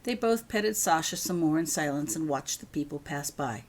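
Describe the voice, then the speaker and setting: Low-pitched woman narrating a story. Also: expressive, normal speed. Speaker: author reading their own fan fiction. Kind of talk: scripted audiobook narration.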